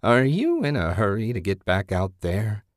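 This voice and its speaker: A man voicing an eerie old woman hermit in a story, folksy and hospitable yet sly, with drawn-out phrasing. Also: lowish voice, huge pitch swings, no echo, studio quality. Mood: insistent.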